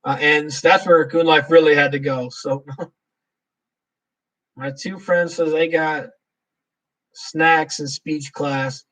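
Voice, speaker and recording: A man's voice: a little high, steady tone, takes it slowly, long pauses, a bit bright, recorded from a distance, low-quality recording. A man hosting a casual live Q&A, rambling through his answers and reading viewer comments aloud. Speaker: livestream host.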